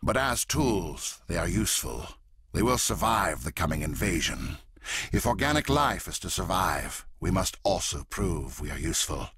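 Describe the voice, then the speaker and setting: A man voicing a menacing, fanatical alien warlord for a video game, gravelly and raspy, with a grandiose, deliberate, intense delivery. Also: low voice, very animated, fairly bright tone, dry recording, full character voice. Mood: intense, persuasive. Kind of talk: dramatic video game voice acting.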